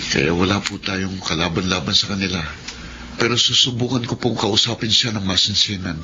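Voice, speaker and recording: A man's voice: sits low, steady tone, moderate pace, slight background noise. An actor playing an earnest, troubled young husband and father in a Filipino radio drama. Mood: dejected, respectful. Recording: dull lo-fi radio, loud music.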